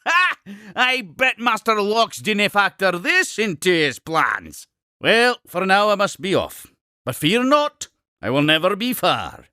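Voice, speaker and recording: A man's voice: sits high, huge pitch swings, measured pace, sharp tone, no echo, clean studio sound, exaggerated voice. A man voicing an exuberant, eccentric Scottish explorer-inventor creature in a cartoon game, grandiose and effusive with old-fashioned phrasing. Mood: gleeful, then eager, boastful. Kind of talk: theatrical cartoon game character dialogue.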